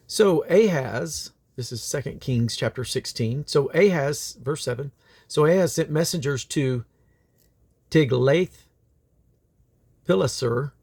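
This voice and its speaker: A man reading the Bible aloud in a solemn, deliberate, phrase-by-phrase delivery. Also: medium pitch, darkish tone, slightly rough. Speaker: scripture reader.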